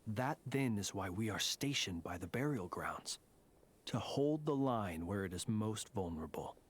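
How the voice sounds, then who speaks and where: An actor voicing a courtly, mysterious graveyard guard in a video game, speaking in a formal, eloquent way. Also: male voice, lowish voice, animated, a little slow, slightly rough. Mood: solemn, courteous. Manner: formal, eloquent, politely theatrical.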